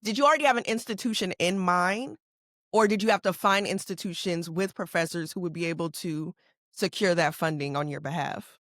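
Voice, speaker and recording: A young woman from California hosting a casual interview, asking lively follow-up questions and affirming often. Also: fairly low pitch, a little fast, dry recording, studio quality. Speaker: podcast host. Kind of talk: conversational interview.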